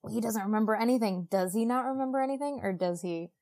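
A woman's voice: on the high side, fast talker, a little mellow. A woman co-hosting a podcast in casual conversation, irreverent and sweary, jokingly acting out imagined quotes. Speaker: podcast co-host. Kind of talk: casual chat, book discussion podcast.

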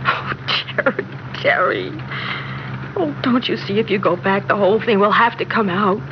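A woman's voice: medium pitch, normal speed, close to an everyday voice. A woman acting in a classic radio drama as an emotional, earnest woman, with dramatic delivery. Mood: urgent, pleading. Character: emotional woman pleading with a troubled man. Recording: muffled, noisy old radio recording.

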